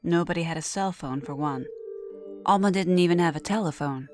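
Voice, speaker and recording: A woman with a lowish voice tells a horror story. Her delivery is scripted and dramatic, sinking into hushed phrase endings, with faint music behind her. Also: a little fast. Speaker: narrator. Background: background music.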